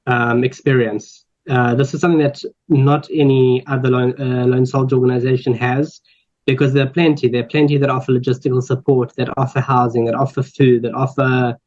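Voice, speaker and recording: A South African man in his twenties speaks on a panel in an earnest way, pausing often on ums and restarting his sentences. His pitch stays flat and even. The recording sounds a little dull and lo-fi. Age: 20s. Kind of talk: personal introduction at a panel talk. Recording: slightly dull lo-fi audio.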